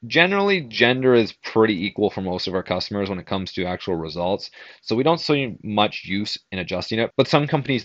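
Low-voiced young man explaining things casually. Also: a bit bright, dry recording, muffled recording. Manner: informal, conversational, explanatory. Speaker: digital marketing instructor. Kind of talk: casual screen-share tutorial.

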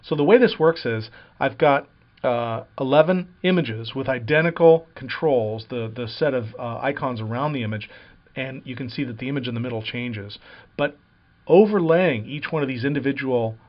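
A man talking through a software tutorial over a screen recording, explaining plainly with frequent uh and um fillers. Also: medium pitch, normal speed, muffled recording.

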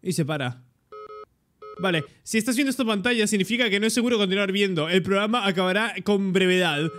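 High-voiced young man from Spain, reacting. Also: fairly quick. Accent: Peninsular Spanish. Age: young adult. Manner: excitable, interjecting. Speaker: reaction video host. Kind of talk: reaction commentary, translating aloud. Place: indoor room, webcam reaction setup. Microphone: desktop streaming microphone.

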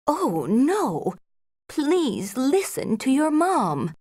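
A woman's voice: sits high, huge pitch swings, very slow, darkish tone, no echo, studio quality, full character voice. A woman voicing a concerned mother in a children's language-lesson cartoon, with careful short phrases.

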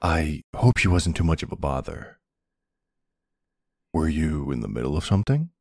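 A man playing a tender mafia boss husband and doting father in an intimate ASMR roleplay, speaking softly with hesitant pauses in a gravelly, husky voice. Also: extremely low pitch, sing-song, heavily acted. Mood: earnest, warm. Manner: soft, unhurried, hesitant pauses.

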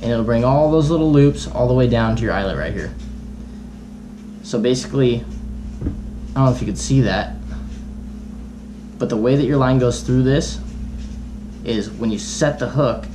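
A man's voice: medium pitch, normal speed, long pauses, noisy background. A young man in his twenties casually demonstrating fishing knots at a table in a small room, talking in an easygoing, chatty way full of "you know" and "like". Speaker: fishing vlogger. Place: small bedroom at a table, indoors. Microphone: camera close in front of him.

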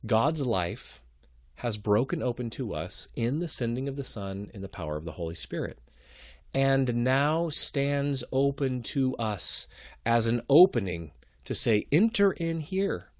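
A man's voice: medium pitch, big pitch swings, unhurried, no room sound, studio quality. A man in his thirties giving a recorded theology lecture from a small home office, speaking earnestly and building emphasis through repeated phrases. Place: home office with bookshelves, indoors. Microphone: webcam at desk.

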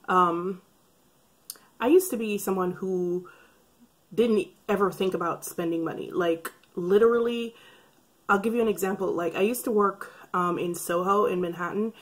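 Woman with a slightly raspy voice. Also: on the low side. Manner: chatty, wry, rambling asides. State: raspy from breathing trouble. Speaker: beauty vlogger. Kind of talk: casual talk to camera. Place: bright room by a curtained window. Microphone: camera framed head and shoulders.

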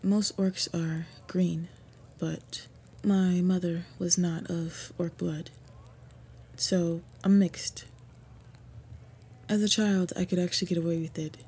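A woman playing an earnest, devoted half-orc woman in a fantasy roleplay audio, speaking formally and softly with a breathy voice. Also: on the low side, fairly even intonation, takes it slowly, exaggerated voice. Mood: earnest, reminiscing.

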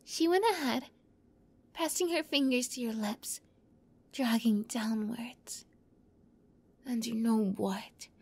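A woman playing an obsessive young yandere captor in an ASMR roleplay, close to the mic, moving between soft whispers and giddy, high outbursts. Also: takes it slowly, pauses often, husky. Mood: whispered, possessive.

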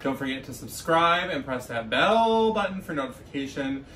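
A man's voice: mid-range voice, very animated, normal speed, pushed and bright. A young man co-hosting a casual vlog, chatting effusively to the camera. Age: young adult. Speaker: vlog co-host. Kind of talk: casual grocery haul chat to camera. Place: small kitchen at home, indoors. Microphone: camera a few feet away. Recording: roomy, distant mic, faint noise.

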